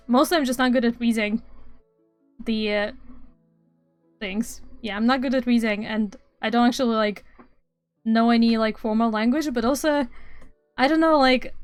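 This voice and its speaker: A woman's voice: a little high, clean tone, no echo. A young woman from Estonia, streaming and chatting casually, rambling with dry sarcastic asides. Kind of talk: casual live-stream chat. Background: faint music.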